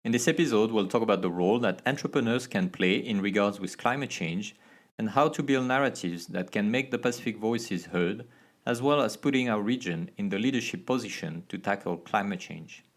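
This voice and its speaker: A man's voice: on the low side, fairly quick. A man hosting an interview podcast, reading a careful introduction and then asking questions with hesitant fillers. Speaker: podcast host.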